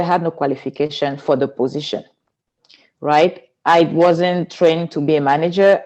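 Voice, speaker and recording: A woman's voice: lowish voice, mellow, low-quality recording. Woman from cameroon w/ accent, earnest guest, talks in long flowing runs, a little unusual phrasing. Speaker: guest, spiritual teacher. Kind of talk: podcast interview.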